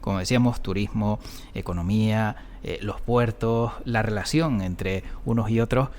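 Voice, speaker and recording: A man's voice: lowish voice, moderate pace, a little mellow, slight background noise. A man hosting a podcast, speaking in a conversational interviewing style. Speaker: podcast host.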